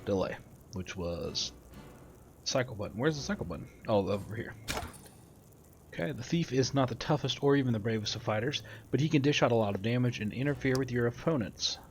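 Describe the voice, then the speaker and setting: A man's voice: mid-range voice, expressive, brisk, faint music behind. A man hosting a gameplay video, casually commenting and reading tutorial text aloud. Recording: faint background noise, game ambience.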